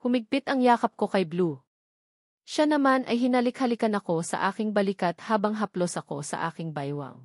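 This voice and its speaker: A woman's voice: mid-range voice, moderate pace, dry recording, clean studio sound. A woman with a Filipino accent reading a scripted romance novel as an audiobook narrator.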